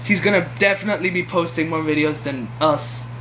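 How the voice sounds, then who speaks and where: Teen boy, high voice, joking and rambling, faint hiss on a home camera. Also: a little fast, fairly bright tone, rough recording. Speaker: vlog host. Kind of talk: casual chat.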